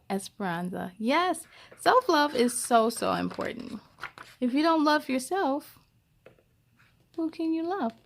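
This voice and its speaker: A woman's voice: sits high, gravelly. A woman hosting a children's story time, reading aloud expressively with chatty asides and speaking encouragingly straight to young listeners.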